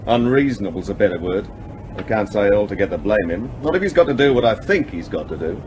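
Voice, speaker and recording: A man's voice: fairly low pitch, lively intonation, quick pace, noisy background, natural voice. An actor playing a sardonic, unflappable man aboard a submarine in a radio drama, with a dry, wry delivery.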